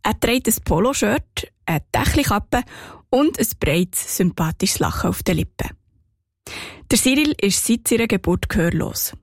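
A woman with a Swiss German accent narrating a scripted radio report in a calm, clear storytelling manner. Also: mid-range voice, measured pace, dry recording, clean studio sound.

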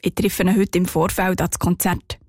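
A woman with a Swiss German accent narrating a scripted radio report in a calm, clear storytelling manner. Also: lowish voice, moderate pace, dry recording, studio quality.

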